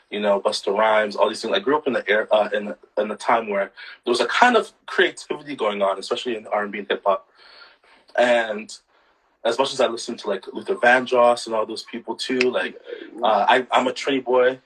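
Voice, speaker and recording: Husky relaxed man chatting as a guest. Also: fairly low pitch, rough recording. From Canada. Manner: relaxed, laughing often, many fillers. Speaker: guest singer. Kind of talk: casual interview chat.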